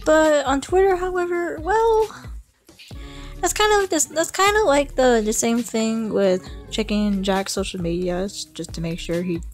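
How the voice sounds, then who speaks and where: Young woman vlogging, slow and hesitant, keeps stuttering and restarting. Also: high voice, soft background music. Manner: hesitant, stutters and repeats herself. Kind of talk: casual, unscripted vlog talk.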